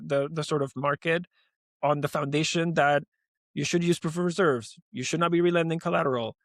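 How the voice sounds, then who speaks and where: A man in his forties from Venezuela, a guest on an interview podcast, talking in an animated, emphatic way with many restarts. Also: a little high, dry recording, studio quality. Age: 40s. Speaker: guest, lending company founder.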